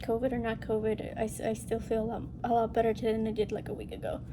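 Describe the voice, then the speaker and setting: Female craft vlogger, highish nasal voice, congested from illness, informal rambling chat, clear background noise. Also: monotone, quick pace. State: getting over a respiratory illness. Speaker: host of a cross-stitch video channel. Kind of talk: casual chat to viewers, craft show-and-tell.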